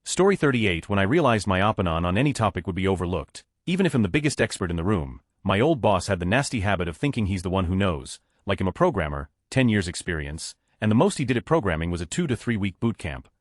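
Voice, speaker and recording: Fast-reading man with a low voice. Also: big pitch swings, no echo, clean studio sound. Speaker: narrator. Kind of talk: reading online stories aloud.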